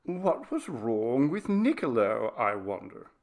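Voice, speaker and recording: A man's voice: mid-range voice, big pitch swings, slow pace, a little mellow. A man voicing a curious, stubborn woman who firmly talks herself onward in an audiobook ghost story. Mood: uneasy curiosity. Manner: self-persuading, firm. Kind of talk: audiobook character dialogue.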